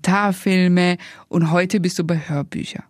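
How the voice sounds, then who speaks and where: A host with a medium-pitched voice leads a relaxed interview conversation. The questions are colloquial and often repeat or sum up the guest's words. The sound is dry and free of room echo. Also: moderate pace.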